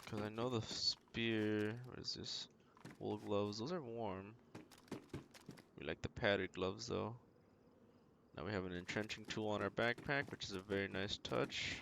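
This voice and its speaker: A man's voice: on the low side, steady tone, unhurried, gravelly, a bit bright. A man giving casual gameplay commentary as a streamer, talking to himself in short remarks. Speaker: game streamer. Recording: noisy mic with clicks and keyboard typing. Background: keyboard typing and clicking.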